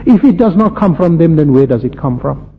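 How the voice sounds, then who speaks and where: A man's voice: fairly high pitch, animated, fairly quick, slightly rough. A man preaching an impassioned Islamic sermon, emphatic, with repetition and rhetorical questions. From South Africa. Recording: muffled, reverberant hall with background noise.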